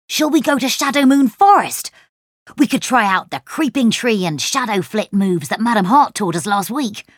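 Woman doing a competitive storybook girl, pointed, voice pitched up a bit. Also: animated, moderate pace, husky, no echo, clean studio sound. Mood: excited, boastful.

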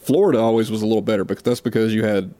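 A low-pitched man with a Southern American accent, talking through a casual podcast conversation. He rambles, restarts his sentences and pokes fun at himself. The recording is dry, with no room sound. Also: steady tone, racing, dark tone, no echo. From South Mississippi, USA. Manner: rambling, self-deprecating, frequent restarts. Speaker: podcast co-host.

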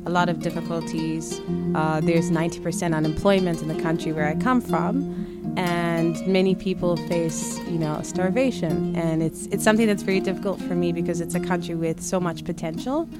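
A woman's voice: lowish voice, fairly even intonation, normal speed, loud music behind the voice. A young woman from Zimbabwe, a guest on a camp radio station, answering questions with many fillers and restarts. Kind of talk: radio interview.

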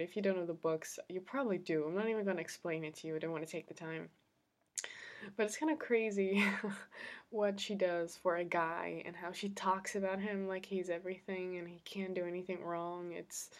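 A young woman talking casually on her own podcast, rambling with fillers and restarts, slightly congested from a cold. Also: fairly low pitch. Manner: rambling, chatty, laughs often, many fillers and restarts. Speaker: podcast host. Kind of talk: casual solo podcast chat. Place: her room at home.

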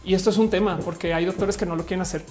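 A woman with a Mexican accent and a fairly low, very mellow voice. She hosts a livestream, reading viewer comments aloud and replying in loose, colloquial chat full of slang. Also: steady tone, rapid-fire, soft background music. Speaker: livestream host answering viewer questions.

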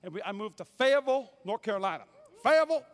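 A man's voice: high-pitched, very animated, racing. A pastor from North Dakota preaching a humorous sermon, playfully quizzing his congregation with call-and-response. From North Dakota, USA.

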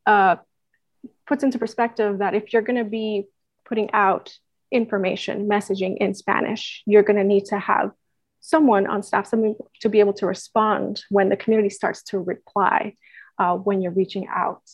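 Earnest woman from puerto rico talking about her work, mid pitch, dry recording. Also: level tone, moderate pace, no room sound. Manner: earnest, many fillers and restarts. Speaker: guest, nonprofit staff member. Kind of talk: conversational interview answer.

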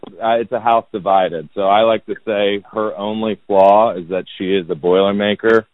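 A man in his thirties from Indianapolis, a real estate agent talking about himself as a podcast guest in a monotone, self-deprecating way. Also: on the low side, normal speed, no echo. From Indianapolis, United States. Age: 30s. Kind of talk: casual podcast interview. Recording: dull, lo-fi sound.

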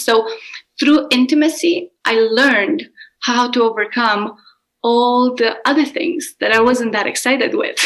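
A woman's voice: fairly high pitch, a bit bright, poor audio. Earnest Romanian-accented woman coach. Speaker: relationship and intimacy coach. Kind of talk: candid personal interview answers.

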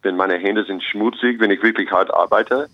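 A man's voice: on the low side, steady tone, moderate pace, no room sound, muffled recording. A young American man with English as his first language, a basketball coach speaking German earnestly and optimistically as an interview guest. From United States. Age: young adult. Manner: optimistic, earnest, restarts phrases often.

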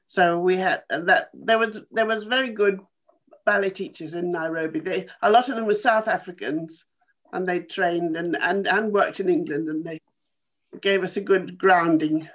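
A woman in her eighties from Kenya, a former dancer telling her life story in a halting, thoughtful way with small laughs. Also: fairly low pitch, fairly bright tone. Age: 80s. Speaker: interview guest, former dancer. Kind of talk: casual remote interview.

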